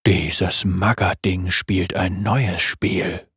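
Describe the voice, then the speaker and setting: A hungry wolf spirit, playful and predatory, drawling in a deep, slow growl below the narrator's own pitch. His voice is husky and very dry, as a male reader puts him on. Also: fairly even intonation, fairly bright tone, dry recording, exaggerated voice.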